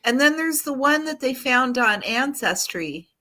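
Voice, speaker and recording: A woman's voice: high voice, expressive, unhurried, sharp tone. A woman in casual conversation, explaining things in an informal way. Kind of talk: casual chat.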